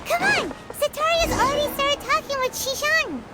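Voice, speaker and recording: A woman voicing a tiny, excitable, childlike fairy companion in a video game, with a squeaky voice. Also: moderate pace. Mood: excited. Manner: speaks of self in third person.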